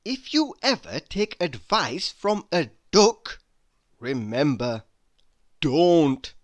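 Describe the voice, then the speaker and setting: A man reading humorous quotes aloud in a deliberate, mock-inspirational manner. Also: a little high, very animated. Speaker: reader.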